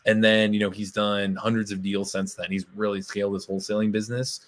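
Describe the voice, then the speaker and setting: A man co-hosting a live webinar, a little hoarse, with a promotional delivery full of fillers. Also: fairly low pitch, flat delivery, fairly quick. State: slightly hoarse. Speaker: co-host.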